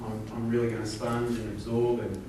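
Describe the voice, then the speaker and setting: A man's voice: medium pitch, little pitch movement, a little fast, warm tone. An Australian man in his forties giving a joking, self-deprecating rally speech with many fillers. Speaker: company executive giving a speech. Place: large event hall on stage. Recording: echoey hall, from far off, crowd noise.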